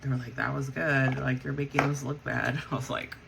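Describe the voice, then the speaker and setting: A woman's voice: a bass voice, flat delivery, a little fast, gravelly, noisy background. A woman who sings in a band, chatting casually as she gets ready, rambling with lots of fillers and self-corrections. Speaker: vlogger and band singer. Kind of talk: casual chat while getting ready.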